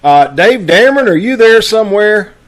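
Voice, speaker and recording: A man's voice: high voice, expressive, normal speed. A man casually hosting a live online broadcast on a video call, making announcements in a folksy, conversational way. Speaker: host of a live online radio-troupe broadcast.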